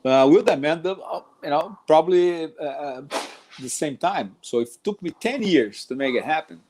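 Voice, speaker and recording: A man's voice: fairly high pitch, lively intonation, moderate pace, darkish tone. A middle-aged man from Brazil with a Brazilian Portuguese accent, a jiu-jitsu instructor talking casually about teaching, rambling with many restarts. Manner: rambling, restarts often, says "man" a lot.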